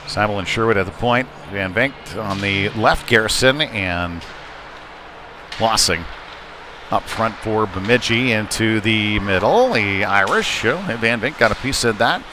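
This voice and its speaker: A man doing live play-by-play ice hockey commentary in short, halting, conversational phrases. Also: on the low side, expressive. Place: indoor ice rink. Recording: noisy live arena stream, rink ambience. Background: clear rink noise and ambience.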